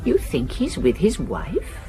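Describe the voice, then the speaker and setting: An actress playing a middle-aged inspector's wife in a radio drama, observant, frank and knowing. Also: female voice, low-pitched, wildly swooping pitch, takes it slowly, mellow, faint music behind, heavily acted.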